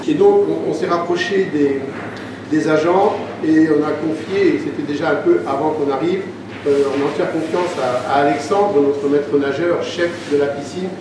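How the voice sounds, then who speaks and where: A man who is a local elected official, giving a semi-formal, unscripted speech at an inauguration. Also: a little high. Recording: echoey pool hall, distant mic, noisy.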